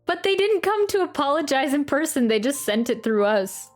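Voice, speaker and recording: Higher-voiced woman streaming a game. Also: sing-song, normal speed. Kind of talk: casual gameplay reaction and commentary. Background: game music.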